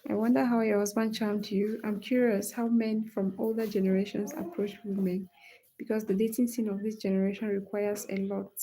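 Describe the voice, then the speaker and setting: A woman in her twenties with a Cameroonian English accent, chatting casually with livestream viewers in an animated, opinionated and emphatic way. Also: mid-range voice, slight background noise, poor audio. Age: 20s. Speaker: livestream host, English-teaching creator.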